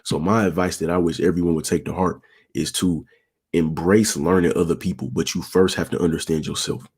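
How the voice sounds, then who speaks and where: A young man hosting his channel, giving casual advice to viewers in a laid-back way, with a low, monotone, raspy voice. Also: a little fast. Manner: laid-back, peppered with "you feel me", talks with his hands. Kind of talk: casual advice talk answering viewer questions.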